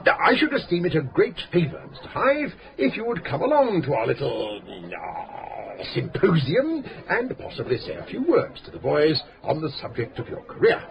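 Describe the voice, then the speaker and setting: An actor playing a pompous, long-winded English school headmaster in a radio drama, speaking in formal, pedantic, hesitant phrases. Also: male voice, fairly high pitch, wildly swooping pitch, normal speed, vocal fry, few pauses, background noise, exaggerated voice. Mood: courteous, persuasive. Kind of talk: British radio drama acting. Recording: radio play with busy pub chatter.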